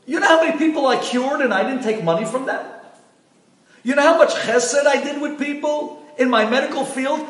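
A man's voice: extremely high pitch, slow pace, pauses often, voice pushed up. A man voicing a frantic dead doctor who screams and pleads for his soul. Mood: pleading. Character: frantic deceased male doctor, pleading and desperate. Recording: echoey room, distant mic.

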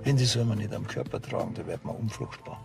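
An actor playing a teasing, pedantic police officer in a TV crime comedy, sardonic in manner. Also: male voice, fairly low pitch, fast, vocal fry, over music. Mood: deadpan teasing. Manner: needling, sardonic.

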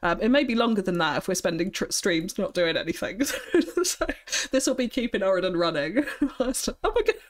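A young woman with a high-pitched voice chatting on a live stream, bubbly and giggly, often thanking viewers. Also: expressive, fairly quick. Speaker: streamer building a city. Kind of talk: casual live-stream chat.

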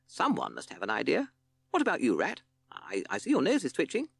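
A man voicing a blustering, bossy captain of an animal band in a children's story, brisk and commanding. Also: mid-range voice, wildly swooping pitch, vocal fry, breathy edge, no room sound, pitched above the performer's own voice, full character voice.

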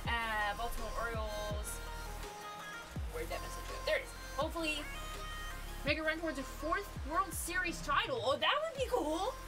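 A woman in her twenties, live-streaming from a gaming room with a desk mic, bubbly and excitable, laughing often. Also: high voice, moderate pace, rough recording. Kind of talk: casual live-stream chat. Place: gaming room lit with colored lights. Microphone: desk mic with headset. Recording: music under the voice. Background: background music.

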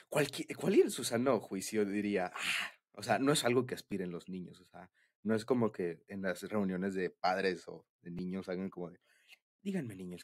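Young male, Mexican accent, deep and breathy, for shy, intimate boyfriend-style ASMR talk. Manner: bashful, hesitant, giggly. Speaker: ASMR roleplay creator.

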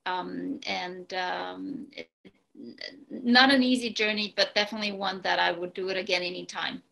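A woman in her forties from Romania, speaking English with a Romanian accent as a guest on a video call, pausing often with ums and restarts. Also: lowish voice, steady tone, creaky, sharp tone, poor audio. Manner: hesitant, many ums and restarts. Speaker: guest, real estate broker. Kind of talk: casual interview talk. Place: home room, indoors.

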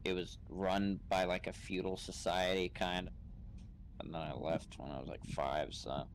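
A man's voice: deep voice, little pitch movement, moderate pace. A man improvising in character over game voice chat, speaking in a laconic, hesitant, dry way. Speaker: role-play gamer playing a newcomer. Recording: noisy gaming voice chat.

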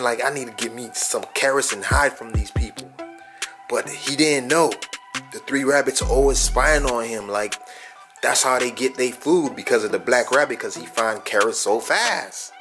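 A young American man chatting casually in a slangy, conversational way, as a vlogger from Orlando talking to camera. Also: medium pitch. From Orlando, United States. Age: young adult. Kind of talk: casual vlog chat.

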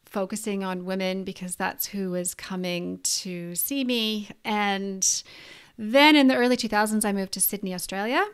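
A woman's voice: medium pitch, normal speed, fairly bright tone, clean tone. Middle-aged Canadian woman, expert guest on a video-call interview, friendly and explanatory, close podcast mic. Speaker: naturopathic doctor, guest. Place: small room indoors. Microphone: podcast mic on boom arm.

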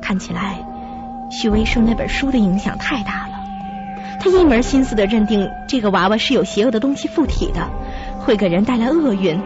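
A woman's voice: fairly high pitch, lively intonation, fairly quick, natural voice. Actress as a pensive young woman narrator, muffled. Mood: pensive, uneasy. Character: pensive, doubting young woman narrating her own story. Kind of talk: scripted radio drama narration. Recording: muffled lo-fi audio with music.

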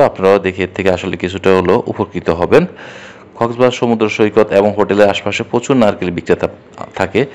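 Low-voiced, brisk Bangladeshi man introducing a vlog. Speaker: travel vlog host. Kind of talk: scripted video introduction.

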